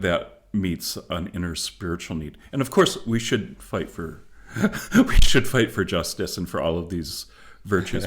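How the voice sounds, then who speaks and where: A middle-aged man, a philosopher and author, speaking as a podcast guest in a measured, reflective way with frequent pauses and fillers. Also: low-pitched. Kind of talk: podcast interview.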